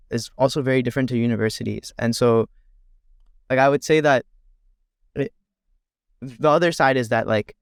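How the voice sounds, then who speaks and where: A man's voice: mid-range voice, clean tone, no room sound, studio quality. A young man interviewing his former teacher casually, loose and full of fillers. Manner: loose, filler-heavy phrasing with "like". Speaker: student interviewer.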